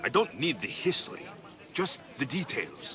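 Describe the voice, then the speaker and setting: An actor playing a blunt, skeptical, impatient mercenary hero in a video game, dry and curt. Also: male voice, mid-range voice, huge pitch swings, moderate pace. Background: clear music.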